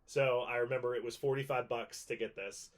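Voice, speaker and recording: A man's voice: mid-range voice, steady tone, fairly quick. Guy in his 30s doing a casual explainer video, kinda rambly, says things twice to stress them. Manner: rambling, repeats points for emphasis. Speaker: video host and prop collector.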